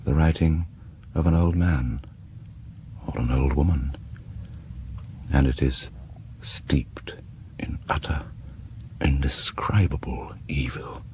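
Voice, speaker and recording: Super deep sinister brit storyteller, ominous, whispery bits, old radio horror, slight noise. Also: male voice, darkish tone. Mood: grave. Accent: British. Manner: ominous, deliberate, dropping into whispers. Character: grave, sinister male narrator of horror tales. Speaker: narrator. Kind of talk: dramatic scripted horror narration. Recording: faintly noisy vintage radio broadcast.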